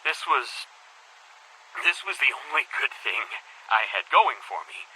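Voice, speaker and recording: An actor playing an anxious middle-aged school headmaster in a game, confiding in a tape recorder in a halting, troubled monologue. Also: male voice, medium pitch, very animated, pushed and bright, slight background noise, heavily acted, poor audio. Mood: weary, despondent. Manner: halting, troubled, repeats words for emphasis. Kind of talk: dramatic audio-diary monologue.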